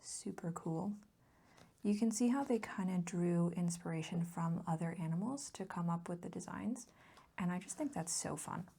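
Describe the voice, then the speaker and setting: A woman gives a soft-spoken ASMR voiceover, close to the mic. Her voice is mellow and breathy, often slipping toward a whisper with a creaky fry. She chats casually and a little ramblingly, with gentle enthusiasm. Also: lowish voice, moderate pace. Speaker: ASMR video host.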